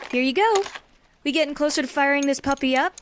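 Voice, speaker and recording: A woman's voice: high-pitched, fast, a little hiss or hum, close to an everyday voice. A woman playing a keen, friendly young science assistant in an audio drama, casual and eager. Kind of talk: conversational audio drama acting.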